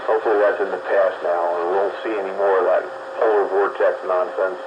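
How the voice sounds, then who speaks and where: Low-voiced easygoing man on lo-fi radio. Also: flat delivery, fairly quick. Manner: easygoing, chatty, many fillers. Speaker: radio host. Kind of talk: casual radio chat. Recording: dull lo-fi radio sound with hiss.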